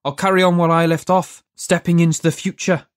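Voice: mid-range voice, little pitch movement, brisk, dry recording, clean studio sound, natural voice. A narrator doing a furious foul-mouthed man. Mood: angry. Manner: shouting, swearing, exasperated. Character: foul-mouthed angry young man, ex-convict.

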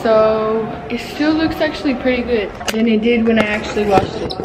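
A woman's voice: on the high side, normal speed, heavy background noise. A young woman vlogging casually from a car, a thrift store and a gym, chatty, crude and playful. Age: young adult. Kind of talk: casual vlog chat. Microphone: handheld phone camera.

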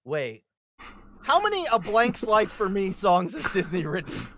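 A playful, animated speaker chatting casually during a table read on a video call. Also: medium pitch, measured pace, over a noisy crowd.

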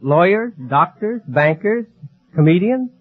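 An American man from California, a quiz contestant with a halting, plainspoken way of answering. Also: high voice, animated. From California, United States. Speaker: game show contestant. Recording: muffled, noisy 1940s radio recording.

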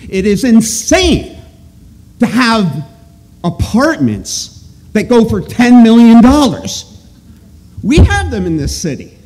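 A man giving halting, opinionated, emphatic testimony off the cuff at a podium microphone in an auditorium. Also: extremely high pitch, wildly swooping pitch, a little mellow. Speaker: resident and economist giving public testimony. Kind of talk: halting off-the-cuff public speech. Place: large auditorium. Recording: clear background noise in auditorium.

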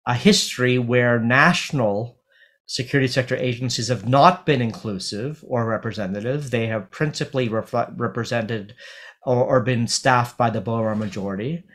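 A middle-aged man speaking on a video call as a panel speaker, talking through a legal text in a halting way with many fillers and restarts. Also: medium pitch, a bit bright. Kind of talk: academic panel talk. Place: home office on video call. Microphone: webcam on video call.

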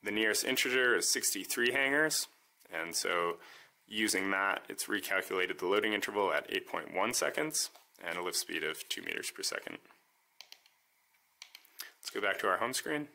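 A man calmly walking through how his homemade device works, step by step, in a voice-over demo. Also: lowish voice, moderate pace, creaky. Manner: calm, methodical step-by-step explaining. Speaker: maker demonstrating his device.

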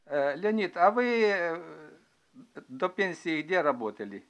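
A man chatting casually as an amateur radio operator in a halting, hesitant way, recorded indoors at his desk. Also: on the high side, very animated, fairly bright tone. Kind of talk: casual ham radio chat, asking questions.

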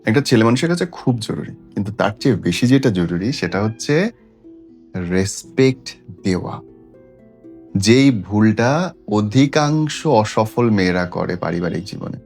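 A man's voice: lowish voice, animated, unhurried, darkish tone. A man with a Bangladeshi accent, a host giving opinionated relationship advice in an emphatic, preachy way with rhetorical questions. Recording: music playing behind the voice. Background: background music.

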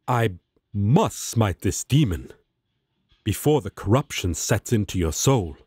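A man's voice: lowish voice, very animated, measured pace, darkish tone, slightly rough, no room sound, exaggerated voice. A man voicing a righteous, commanding angel warrior in a fantasy audio roleplay, with archaic, preachy and self-important speech.

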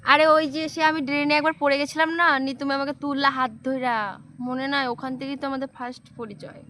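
A woman's voice: high voice, level tone, fast, pushed and bright, a little hiss or hum. A woman making a prank phone call, sweet-talking and sulking as a pretend lover. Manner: teasing, sulky sweet-talk. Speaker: prank caller. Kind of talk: prank phone call, playing a flirty stranger.

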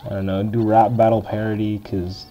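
A young man with a deep voice giving casual, rambling commentary while playing through a retro video game. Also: flat delivery, normal speed, mellow. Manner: chatty, rambling, filler-heavy. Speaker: game walkthrough host. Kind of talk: casual let's-play commentary. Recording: dull lo-fi game recording. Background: faint game music.

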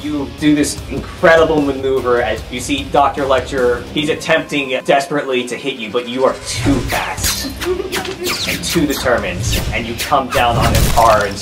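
A man's voice: mid-range voice, sing-song, normal speed, recorded from a distance, over music. A man narrating an improvised tabletop roleplaying game as the game master.

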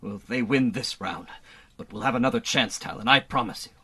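A man's voice: medium pitch, fairly quick, pushed and bright, breathy edge. A man playing an earnest, dry human space adventurer from Earth in a radio serial. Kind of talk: old-time radio serial acting.

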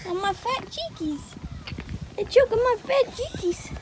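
A young woman on a lake boat films herself on a phone held at arm's length, drawling along in sing-song baby talk. Her voice sits high and her delivery is slow and unhurried. Heavy wind and engine noise roar behind her. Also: lively intonation. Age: young adult. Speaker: vlogger. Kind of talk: casual vlog chat, baby talk to her infant. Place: outdoors on a pontoon boat on a lake.

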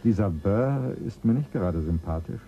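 An actor playing a skeptical visitor who asks probing questions in a German radio drama. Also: male voice, on the low side, lively intonation, normal speed, highs cut off, slight background noise, natural voice.

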